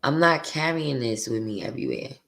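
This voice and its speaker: A woman with a deep voice hosting her podcast, venting bluntly and profanely in a candid, confessional monologue. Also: expressive, fairly quick, gravelly, fairly bright tone. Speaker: podcast host.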